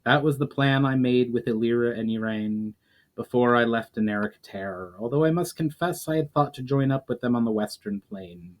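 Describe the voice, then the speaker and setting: Male reader as fantasy war leader: weary, principled, earnest, deliberate, commanding. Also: mid-range voice, natural voice.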